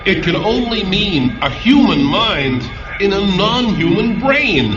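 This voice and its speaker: A man's voice: high voice, very animated, slow pace, heavily acted. A man playing an earnest, insistent scientist in a vintage sci-fi radio drama, explaining things with dramatic emphasis. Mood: urgent revelation. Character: earnest male scientist who probes minds, urgent and insistent. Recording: dull vintage radio sound, music underneath.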